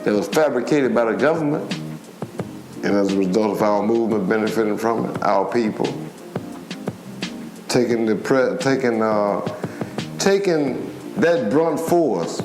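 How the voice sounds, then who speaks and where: A man's voice: on the low side, measured pace, long pauses. An older man giving an impassioned political speech in an emphatic, colloquial way, repeating phrases for emphasis. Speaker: political activist and former militant speaking. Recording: echoey room with music behind.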